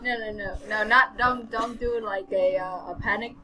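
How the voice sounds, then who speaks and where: A woman's voice: fairly high pitch, steady tone, normal speed, crowd noise, poor audio. A woman co-hosting an amateur read-along stream with casual, joking commentary, playfully repeating words and phrases.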